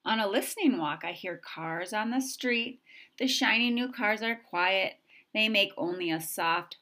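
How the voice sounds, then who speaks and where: A woman reads a picture book aloud for young children. Her pitch is average for a woman, and she phrases each sentence simply and deliberately. The delivery is expressive storytelling, ready to act out the sounds in the story. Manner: expressive, acting out sound effects. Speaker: storybook reader.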